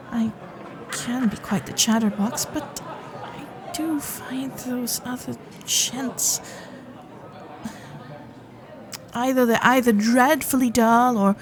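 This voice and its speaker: Casting: actress as young Gilded Age heiress, sweetly awkward, formal, babbling, unhurried delivery, music underneath. Romantic audio drama. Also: female voice, fairly high pitch. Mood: candid, wistful. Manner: flustered, hesitant, babbling, genteel and formal. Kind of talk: romantic period audio roleplay, spoken intimately to listener.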